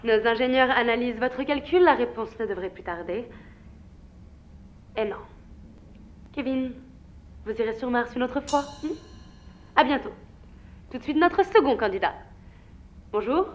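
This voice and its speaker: An actress playing a theatrical host of a radio game show. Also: female voice, fairly high pitch, lively intonation, unhurried, long pauses, highs cut off, slight background noise. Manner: bright, theatrical presenter delivery.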